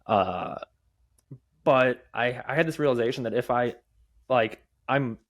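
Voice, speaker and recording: A young man speaks candidly as a podcast guest. His speech is marked by a stutter, with repeated words, halting restarts and a steady stream of fillers. He answers at a conversational, mid-pitched level. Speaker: podcast guest, mobile developer and conference speaker.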